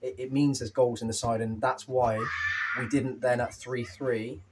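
A man's voice: mid-range voice, normal speed, noisy background. Breathless young man in a post-match interview. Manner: animated, candid, with fillers and restarts. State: slightly out of breath. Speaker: football manager. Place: small clubhouse room with painted wooden walls.

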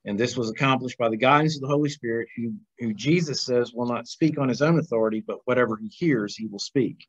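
A man's voice: medium pitch, fast talker, breathy edge. A middle-aged man teaching an informal Bible class over a video call, reading aloud and commenting conversationally with questions to the class. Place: small plain room, indoors. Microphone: video call, computer microphone. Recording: dull, lo-fi video call audio.

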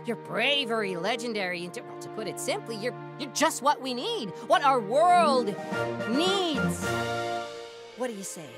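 A man voicing a small, anxious, theatrical creature who keeps magic books in an animated game. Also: very high-pitched, measured pace, loud music behind the voice, full character voice. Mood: earnest. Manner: theatrical, flustered, grandiose. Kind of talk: animated game cutscene voice acting.

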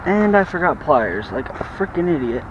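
A man with an American accent, talking casually to himself and the fish while catching bass at a weedy pond bank, in an offhand, self-mocking way. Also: big pitch swings, normal speed. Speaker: fishing vlogger. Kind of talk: casual running commentary while fishing. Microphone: chest or head-mounted action camera. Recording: muffled action-camera audio with outdoor noise.

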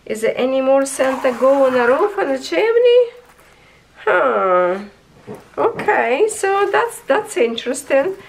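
Slow, high-voiced hoarse woman reviewer. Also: big pitch swings, slight background noise, low-quality recording. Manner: chatty, enthusiastic, talks to viewers as friends. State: hoarse, losing her voice. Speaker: craft reviewer. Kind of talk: casual unboxing review. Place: indoors at a tabletop.

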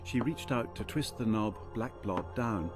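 An actor playing a wry storybook narrator, relishing each descriptive word in scripted radio drama narration. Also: male voice, on the low side, unhurried, over music, close to an everyday voice.

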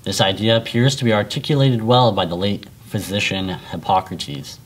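A man's voice: on the low side, moderate pace. Earnest young man giving a graduation speech. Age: young adult. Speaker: valedictorian. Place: school library.

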